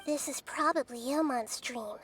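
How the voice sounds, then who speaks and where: A woman voicing a small, calm, wise girl deity with a childlike voice and measured manner in an anime game. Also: sits high, lightly acted. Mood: calm, thoughtful. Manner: measured, explains through analogies. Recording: game audio with background noise.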